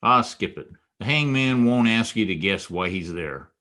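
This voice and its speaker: An actor playing a wisecracking, world-weary private detective in a noir radio drama, wry and sardonic. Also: male voice, fairly low pitch, lively intonation, normal speed, pushed and bright. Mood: tough, defiant. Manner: wry, sardonic, clipped tough talk. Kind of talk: old-time radio noir acting and first-person narration.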